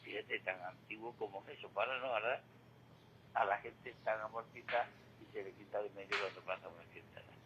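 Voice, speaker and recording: Older guy doing a radio monologue, lowish voice, stops and repeats himself, pretty noisy recording. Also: fairly even intonation, pushed and bright, lo-fi sound. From Spain. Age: 60s or older. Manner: halting, repeats words, rhetorical questions. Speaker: retired regular contributor giving commentary. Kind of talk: reflective spoken monologue on local radio. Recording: clearly noisy radio feed.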